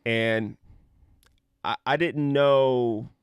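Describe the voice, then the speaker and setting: A man's voice: medium pitch, fairly even intonation, very slow, smooth, no echo, highs cut off. A man in his thirties co-hosting a casual podcast, rambling and joking, often trailing off mid-sentence. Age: 30s. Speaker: podcast co-host. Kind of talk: casual chat.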